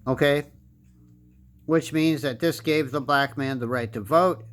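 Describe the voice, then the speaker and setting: A man in his fifties with an American accent delivers a pointed political monologue. His voice sits a little higher than most men's. He lectures with emphasis and hammers his points home by repeating them. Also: measured pace, fairly bright tone. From United States. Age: 50s. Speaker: political commentator. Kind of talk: opinion monologue.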